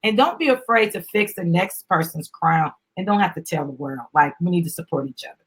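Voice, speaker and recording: A middle-aged woman with a husky voice, reading a children's book aloud in an animated, encouraging way with explanatory asides. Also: on the low side, a little fast, rough recording. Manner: encouraging, instructive, addressing children and parents.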